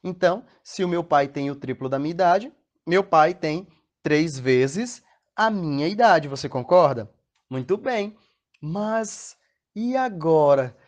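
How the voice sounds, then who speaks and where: A man's voice: a little high, lively intonation, unhurried. A man with a Brazilian Portuguese accent teaching a recorded math lesson, patiently explaining step by step and often checking in with the class through questions.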